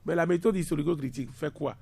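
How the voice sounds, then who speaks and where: Gravelly emphatic man in his fifties. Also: on the high side, fast talker, a little mellow, slight background noise. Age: 50s. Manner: emphatic, repeats words for stress. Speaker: university lecturer guest. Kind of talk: radio discussion.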